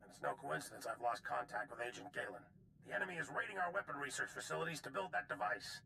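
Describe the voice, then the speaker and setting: A gravelly, guarded general. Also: male voice, mid-range voice, quick pace, pushed and bright, a little hiss or hum, poor audio. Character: guarded, secretive male military general.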